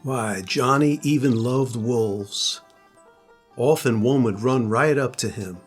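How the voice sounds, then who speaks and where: A man's voice: medium pitch, slow, slightly rough, soft background music. An older man, a grandfather, narrating a children's story.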